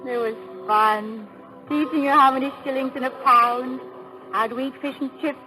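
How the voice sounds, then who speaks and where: Actress as a high-voiced, refined English wife. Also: female voice, steady tone, moderate pace, music in the background, lightly acted. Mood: fond, teasing. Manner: gentle, playful, refined. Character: affectionate English former stage actress, teasing, supportive wife. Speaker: actress in radio comedy drama. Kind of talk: old-time radio drama acting. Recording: noisy vintage radio recording.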